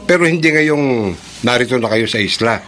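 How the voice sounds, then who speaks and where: Male actor as grave, worried man in radio horror drama; medium pitch, faint noise. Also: animated, moderate pace, fairly bright tone, natural voice. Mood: grave, warning. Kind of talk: Filipino radio drama acting.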